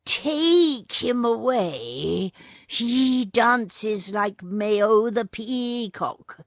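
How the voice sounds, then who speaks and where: A woman voicing a group of hostile cobras, speaking slowly and scornfully. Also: on the high side, lively intonation, no echo, studio quality, voice pushed up, heavily acted.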